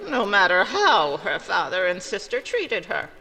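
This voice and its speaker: A woman's voice: mid-range voice, big pitch swings, a little slow, bright, forceful tone, big-room sound, some chatter behind, exaggerated voice, low-quality recording. A woman playing an indignant, gossipy small-town neighbor with an emphatic, folksy manner in an old-time radio drama. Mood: earnest.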